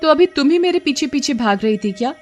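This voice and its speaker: A nervous young married woman, acted by a woman storyteller, speaks in a highish, lively voice. She sounds anxious and kind-hearted, muttering to herself, with music underneath. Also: fairly quick. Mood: relieved.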